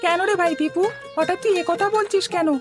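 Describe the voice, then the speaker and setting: A woman's voice: very high-pitched, steady tone, brisk, music in the background. A woman voicing a timid, obedient young village boy in a cartoon, anxiously questioning things. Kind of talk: cartoon voice acting.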